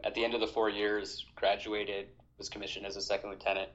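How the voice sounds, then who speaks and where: A man in his thirties from Hawaii, an army veteran talking as a podcast guest in a measured, reflective way. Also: lowish voice, level tone, a bit bright, dull audio. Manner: reflective, measured, with fillers and restarts. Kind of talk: conversational interview.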